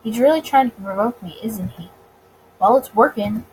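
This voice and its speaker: A woman reading a visual novel aloud as a let's player, expressively and with dramatic pauses. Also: a little high, a little mellow, slight background noise, rough recording. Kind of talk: expressive story reading with reactions.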